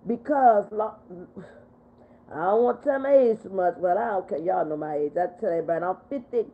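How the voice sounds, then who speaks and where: A woman in her fifties hosting a casual livestream chat, reading out viewer comments and answering them in an animated way. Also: medium pitch, brisk. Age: 50s. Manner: animated, talks back to viewers. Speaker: livestream host. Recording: phone-like narrow sound.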